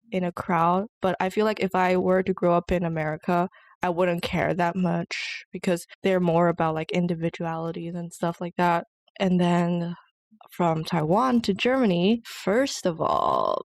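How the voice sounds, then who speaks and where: A woman's voice: on the low side, darkish tone, no echo. A young woman co-hosting a podcast, telling stories in a casual chat and filling her talk with "like". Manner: chatty storyteller, many "like" fillers. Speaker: podcast co-host.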